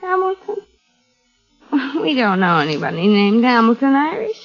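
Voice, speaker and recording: An actress playing a groggy, tipsy, confused wife in vintage radio crime drama, dazed and drawling. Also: female voice, on the high side, big pitch swings, normal speed. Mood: tipsy, puzzled. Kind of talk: 1940s-50s radio crime drama acting. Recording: dull vintage radio recording with music.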